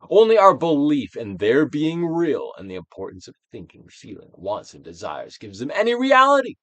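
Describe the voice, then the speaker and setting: A man giving a dramatic, emphatic audiobook reading of a self-help book, moving between loud declarations and hushed phrases. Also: on the high side, wildly swooping pitch, moderate pace, dry recording, dull audio. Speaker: actor reading a book aloud.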